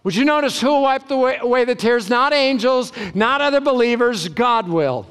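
A man preaching a sermon as a pastor, emphatic, with deliberate pauses and repeated short phrases, in a large hall. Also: very high-pitched, expressive, fairly bright tone, clean tone.

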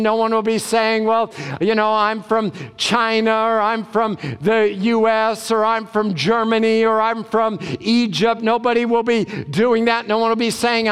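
A man preaching a sermon as a pastor, emphatic, with deliberate pauses and repeated short phrases, in a large hall. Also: high-pitched, fairly bright tone, clear, resonant tone.

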